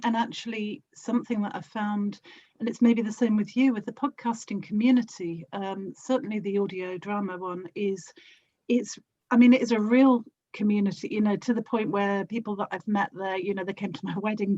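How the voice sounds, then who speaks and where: A woman's voice: mid-range voice, fairly even intonation, moderate pace, a little mellow, highs cut off. A woman chatting casually and expressively as an interview guest, with an enthusiastic manner. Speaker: guest, audio drama actor and producer.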